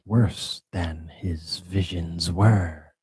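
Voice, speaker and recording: A man voicing a chorus of spirits of the deep in a low, whispery recitation. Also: fairly even intonation, drawn-out words, a little mellow, breathy edge, dry recording, heavily acted. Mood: whispered.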